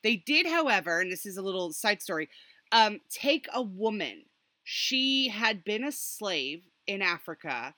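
A woman podcast host chatting casually and telling history stories in an animated, rambling way with sardonic asides. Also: medium pitch, measured pace, dry recording. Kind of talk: casual storytelling chat about history.

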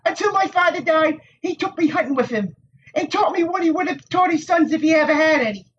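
A man reading an audiobook voices a stubborn, sharp-tongued young village woman with defiant retorts. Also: extremely high pitch, animated, fairly quick, recorded from a distance, highs cut off, pitched above the performer's own voice.

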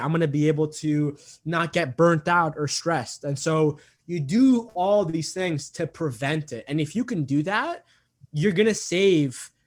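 A man in his twenties talking earnestly in an interview, repeating himself often and filling gaps with "um" and "like". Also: fairly high pitch. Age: 20s. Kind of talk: casual interview talk.

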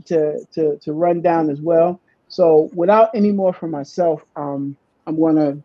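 Halting, mellow-voiced podcast host. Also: medium pitch, no room sound, lo-fi sound. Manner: halting, restarts phrases often. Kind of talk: hosting a live online session.

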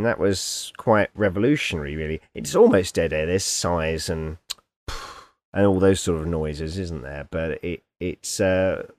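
Brief: male podcast host, very low voice, dry close sound, chatty and hesitant. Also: dry recording. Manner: chatty, hesitant restarts, chuckling asides. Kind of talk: casual podcast chat.

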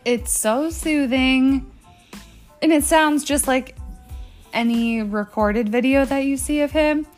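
A woman's voice: high voice, takes it slowly, faint music behind. Tired, teasing woman chatting at home. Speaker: podcast guest, host's wife. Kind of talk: casual chat. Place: living room at home. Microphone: phone.

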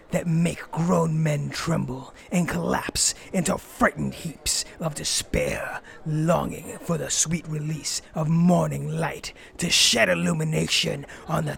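A man playing a self-styled dark vigilante in a comedic fantasy audio drama, grandiose and absurdly earnest, with a gravelly, often whispery voice and a pompous, portentous delivery. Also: on the high side, heavily acted. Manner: slow, pompous, theatrically portentous.

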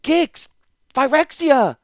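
A man's voice: extremely high pitch, big pitch swings, moderate pace, no echo, studio quality, pitched above the performer's own voice. A man voicing an anguished frightened woman warrior. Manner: shouting, anguished. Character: frightened woman warrior, self-doubting and torn.

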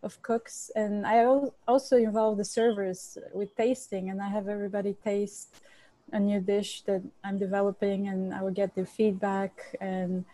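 A woman's voice: medium pitch, level tone, moderate pace, mellow, dry recording, low-quality recording. A woman from Bulgaria, a chef and cookbook author, answering interview questions earnestly with frequent restarts.

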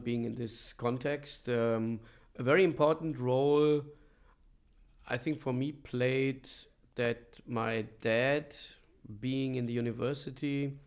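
A middle-aged man from Germany telling his story as an interview guest, with halting, thoughtful pauses. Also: mid-range voice, smooth. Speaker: podcast guest, professor and coach. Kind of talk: reflective conversational interview storytelling.

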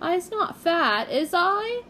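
A woman's voice: very high voice, very animated, takes it slowly, pushed and bright, slight background noise, pitched above the performer's own voice, heavily acted. A woman voicing a spoiled, sulky small girl with babyish grammar. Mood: whiny. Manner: childish grammar, whiny.

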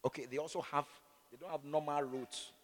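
A man with a Ghanaian accent and a raspy voice, preaching in an animated, conversational way full of rhetorical questions and repeated phrases. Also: on the high side, a little fast. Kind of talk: sermon with conversational, opinionated asides. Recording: echoey hall with long reverb.

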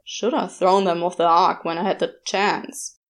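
Woman as grumbling male demon, wily, irritable, muttered snarls, unhurried storybook delivery. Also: fairly low pitch, fairly even intonation, voice pushed down. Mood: muttering.

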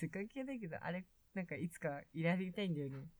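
A woman's voice: fairly low pitch, lively intonation, a little fast, a little mellow. A woman co-hosting a podcast, chatting casually with friends. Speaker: podcast co-host, amateur voice drama maker. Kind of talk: casual group chat among friends.

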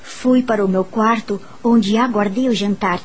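An actress playing a kind, curious young female teacher in a Brazilian radio drama, expressive and musing, with a Brazilian accent. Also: medium pitch, normal speed, close to an everyday voice. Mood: friendly, calm. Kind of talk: radio drama acting and first-person narration. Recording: music bed behind the voice. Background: music.

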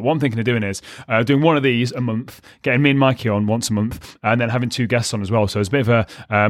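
A male podcast host and fat loss coach, talking solo with emphatic, repeated punchlines and a slightly croaky voice. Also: mid-range voice, dry recording, clean studio sound. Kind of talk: solo motivational podcast monologue.

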